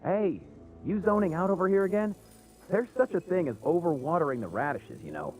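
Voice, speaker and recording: High, breezy young villager. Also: male voice, animated, a little fast, a little mellow, soft background music, low-quality recording. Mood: friendly teasing. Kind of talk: video game voice acting.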